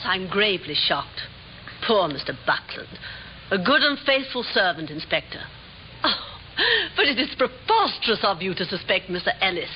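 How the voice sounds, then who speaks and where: A woman's voice: medium pitch, close to an everyday voice. A woman playing an imperious actress-manager in 1950s radio drama, with commanding, theatrical manner and precise diction. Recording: muffled, noisy old radio recording.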